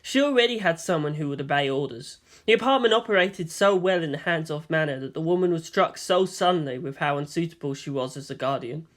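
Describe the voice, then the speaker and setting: A woman's voice: low-pitched, a little fast, few pauses. A woman reading a story aloud as scripted narration. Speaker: fan fiction reader and narrator.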